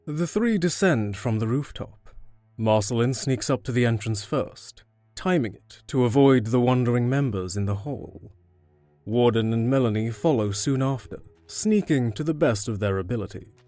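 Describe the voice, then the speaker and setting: A man's voice: medium pitch, lively intonation, moderate pace, dark tone, no room sound, soft background music. A man reading scripted narration for an audio drama.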